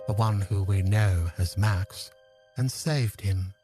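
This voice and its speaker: A man acts out an aged, lonely manipulator of time for an audio drama. His voice is lowish and very unhurried, weighed with solemn regret and soft-edged in tone. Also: faint music behind. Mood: solemn, regretful. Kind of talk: scripted audio drama acting.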